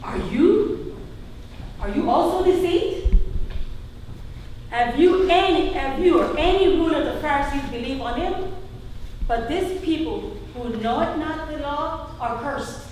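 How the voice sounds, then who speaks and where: Lady doing a snooty scornful pharisee, high voice, church play, tons of hall reverb. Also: animated, unhurried, pauses often, darkish tone. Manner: haughty, challenging. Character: scornful, haughty Pharisee accuser. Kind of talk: live church dramatization. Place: church hall, live stage performance. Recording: distant echoey hall, faint noise.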